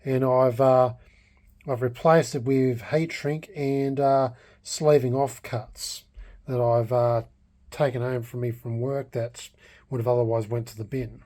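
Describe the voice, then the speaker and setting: A man narrating a casual, unscripted hobby vlog in a hesitant, halting way, thinking aloud as he goes. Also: mid-range voice, steady tone, warm tone. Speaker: hobbyist vlogger restoring a vintage radio.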